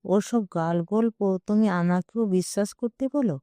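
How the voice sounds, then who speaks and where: An arrogant male sage from an audio story, acted out by a woman. He speaks slowly and dismissively, with self-righteous authority. The tone is soft and mellow, recorded close and dry. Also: mid-range voice, no echo, natural voice. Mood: scornful. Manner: dismissive, imperious. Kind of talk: audio story character reading.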